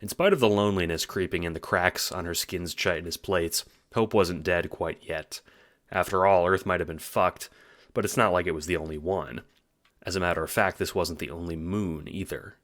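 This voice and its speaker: A man's voice: low-pitched, moderate pace, fairly bright tone. A man reading scripted story narration for an audio drama, as its narrator.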